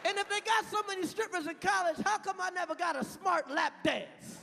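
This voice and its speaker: A man in his thirties performing a loud stand-up comedy set on stage, shouting punchlines and chanting lyrics. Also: very high voice, brisk, creaky. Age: 30s. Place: large venue on stage. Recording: live hall with loud crowd and noise.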